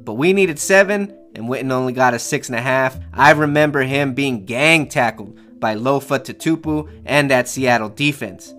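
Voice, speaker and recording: A man's voice: medium pitch, normal speed, hardly any pauses, fairly bright tone, faint music behind. A man hosting a sports podcast, recounting football games in a casual, opinionated, slangy way like an emotional diehard fan.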